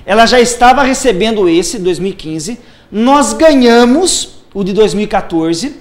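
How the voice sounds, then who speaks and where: High-voiced man explaining pension law. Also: expressive, a little slow. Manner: methodical, lays out cases step by step. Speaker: social security lawyer. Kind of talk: explaining pension rules on live TV.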